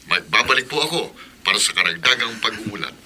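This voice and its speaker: Radio announcer guy, bright and animated, reads like the news. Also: on the low side, a little hiss or hum. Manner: measured, declamatory delivery. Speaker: announcer and newsreader. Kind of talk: formal broadcast announcing.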